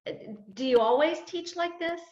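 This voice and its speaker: A woman's voice: extremely high pitch, moderate pace, darkish tone. A woman playing a self-deprecating, hesitant retired woman of fifty-eight, a beginner dancer, in a play read over video call. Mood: flustered, pleased. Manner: hesitant, wry, chuckling. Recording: roomy, dull video-call audio.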